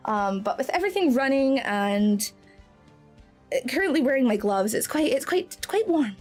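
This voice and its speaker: Scottish woman streamer, very high and lively, giggly and effusive, faint music underneath. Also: moderate pace. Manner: bubbly, giggly, effusive thanks. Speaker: VTuber streamer. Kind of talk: live-stream chat with viewers. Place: indoor home streaming setup.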